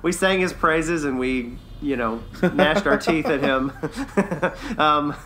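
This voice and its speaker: A man's voice: on the high side, slow pace, a crowd in the background. A man co-hosting a podcast in casual chat, upbeat and good-humoured. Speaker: podcast co-host.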